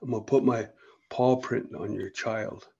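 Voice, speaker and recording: A man voicing a playful, kindly trickster animal spirit from a folk tale, speaking with gentle assurance. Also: lowish voice, steady tone, unhurried, vocal fry, breathy edge, dull audio, full character voice. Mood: confident.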